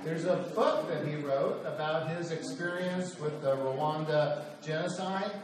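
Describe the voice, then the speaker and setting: A man's voice: on the high side, a little slow, a crowd in the background. A man leading a church Bible class, introducing a guest speaker with frequent hesitations. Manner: hesitant, full of uh. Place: church hall. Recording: echoey hall from far off with room noise.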